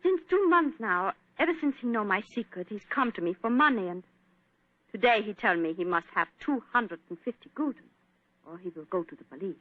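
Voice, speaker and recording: A woman's voice: medium pitch, animated, a little fast, no echo, lightly acted. An actress plays a frightened young Viennese pianist, a woman whose English comes out broken and pleading, bent by a German accent. The sound is dry and narrow, like an old radio broadcast. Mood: anxious. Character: young female Viennese concert pianist, anxious. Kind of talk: 1940s radio acting.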